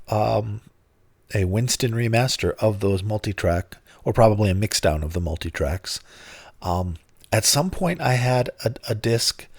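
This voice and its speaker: A middle-aged man hosting his own podcast in casual, grumpy, self-mocking talk, his voice hoarse and lower than usual from bronchitis. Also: no echo. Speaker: podcast host.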